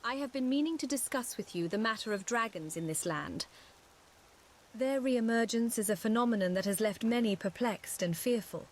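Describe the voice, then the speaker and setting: Woman voicing a higher-pitched, courteous young mage scholar. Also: a little hiss or hum, natural voice. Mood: thoughtful, gentle. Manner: formal, eloquent, gentle, measured. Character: courteous, earnest young female mage scholar and loyal companion. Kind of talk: scripted fantasy game dialogue.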